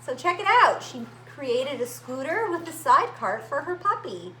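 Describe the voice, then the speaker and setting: High, animated woman reading a children's story. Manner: animated, dramatic emphasis with drawn-out words and simple asides. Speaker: children's story-time reader at a library. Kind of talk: expressive read-aloud of a picture book for young children. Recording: roomy echo, faint background noise.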